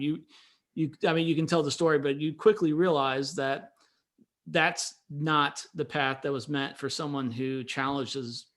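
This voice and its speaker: A man's voice: a little high, fairly bright tone. A man hosting an interview, supportive, recapping his guest's story in his own words. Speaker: host. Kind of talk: podcast interview.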